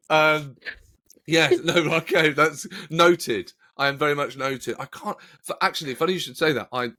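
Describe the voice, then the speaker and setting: A man's voice: on the high side, unhurried, sharp tone. A man in his thirties, a comedian co-hosting from home, chatting casually with self-deprecating humour and many stammering restarts. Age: 30s. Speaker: comedian and co-host. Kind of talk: casual chat. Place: at home, joining remotely early morning.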